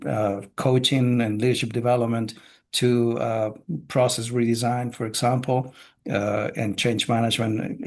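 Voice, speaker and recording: A middle-aged man from Chile, a guest on a business podcast, talks through his working life at an even, measured pace. He often pauses on "uh" as he gathers his words, and his voice stays at an ordinary mid pitch. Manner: measured, frequent "uh" fillers. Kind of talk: casual podcast interview.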